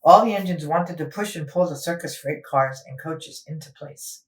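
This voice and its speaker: A woman's voice: sits low, normal speed, reverberant. A woman storyteller narrating a children's book expressively, as if for young listeners.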